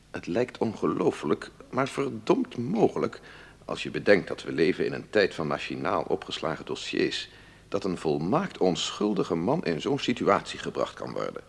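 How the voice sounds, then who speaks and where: A defence lawyer telling a friend about an absurd case in a radio drama. His voice is deep and husky with a gravelly edge. He confides with animated, exasperated disbelief. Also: full character voice. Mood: wry, fascinated. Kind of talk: radio drama letter monologue.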